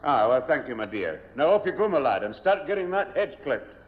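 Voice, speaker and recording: An actor playing an older grandad in a 1970 radio sitcom, genial but quick to grumble. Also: male voice, medium pitch, very animated, lightly acted. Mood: grumbling. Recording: tinny noisy old radio, hall reverb.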